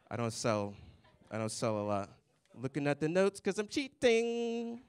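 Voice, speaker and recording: A young man performing a stand-up set on a comedy club stage into a handheld mic, with a deadpan, dry delivery and little chuckles. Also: fairly high pitch, slow, smooth, dry recording.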